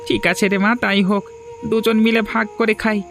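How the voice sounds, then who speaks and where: Dejected poor village dad acted by a man, creaky voice, flat intonation, sorrowful cartoon delivery. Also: sits high, normal speed, soft background music. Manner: sorrowful, resigned. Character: dejected poor village father, a street entertainer. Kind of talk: Bengali moral-story cartoon dubbing.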